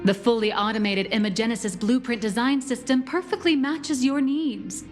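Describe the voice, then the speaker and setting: An actress playing an upbeat company guide in a video game, with a polished, salesy, theatrical delivery, showing off mech assembly. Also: female voice, a little high, fairly even intonation, moderate pace, close to an everyday voice. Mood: proud, explaining. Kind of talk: scripted game character dialogue. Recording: music playing behind the voice.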